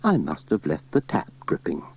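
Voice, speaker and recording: A man voicing an absorbed, easily bothered woman in a children's storybook, breathy and musing. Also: deep voice, huge pitch swings, normal speed, darkish tone, slight background noise, pitched below the performer's own voice, exaggerated voice. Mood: absent-minded. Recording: dull cassette transfer with music.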